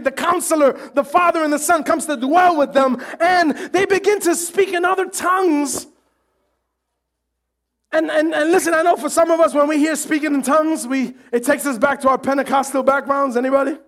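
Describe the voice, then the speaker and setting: A pastor preaching a sermon with animated delivery, joking and acting out the voices of the crowd. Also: male voice, very high-pitched, normal speed.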